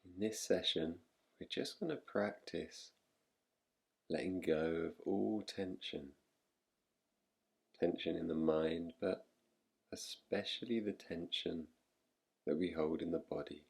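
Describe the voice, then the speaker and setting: A man guiding a relaxation meditation in a soothing, unhurried manner, leaving long pauses between short phrases. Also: low-pitched, steady tone, creaky, slightly rough. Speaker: meditation guide. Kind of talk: guided relaxation meditation, yoga nidra.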